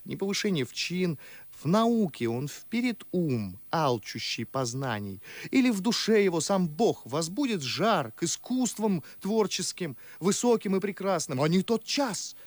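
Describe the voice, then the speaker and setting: A man's voice: fairly high pitch, no echo. An actor playing a fervent, sarcastic young nobleman, declaiming indignant verse in a classic radio drama.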